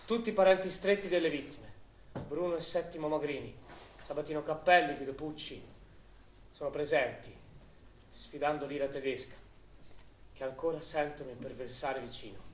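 A man's voice: fairly high pitch, normal speed, pauses often, natural voice. A man, a stage actor, giving dramatic theatrical narration. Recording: echoey, distant, faintly noisy.

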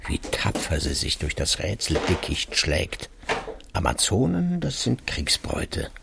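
Tired boozy intellectual husband, talks real slow n deep, wry inner monologue, radio drama. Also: male voice, huge pitch swings, creaky, noisy background, heavily acted. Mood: defensive irony. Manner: deliberate, wry, musing. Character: weary, secretive alcoholic husband, middle-aged intellectual, wryly self-justifying. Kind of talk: radio play interior monologue.

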